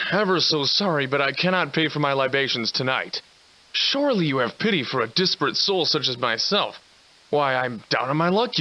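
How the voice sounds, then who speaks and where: A man playing a sardonic, defiant old-fashioned gentleman adventurer in a video game, speaking in florid, archaic, theatrical phrases. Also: a little high, rough recording. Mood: pleading, indignant. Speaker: voice actor.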